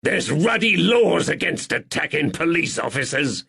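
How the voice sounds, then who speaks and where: A man's voice: mid-range voice, measured pace, creaky, husky, voice pushed up. A man voicing an injured police officer who splutters in strained anger. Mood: angry.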